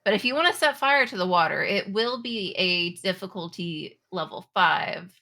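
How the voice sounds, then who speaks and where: A woman running a tabletop roleplaying game, narrating in a halting, improvised way. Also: medium pitch, animated, gravelly, bright, forceful tone, a little hiss or hum. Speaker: game master.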